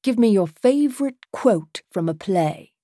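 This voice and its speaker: A woman voicing a polite, flirtatious British man in an audiobook, courteous and softly teasing. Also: medium pitch, animated, a little slow, no echo, clean studio sound. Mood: friendly, flirtatious.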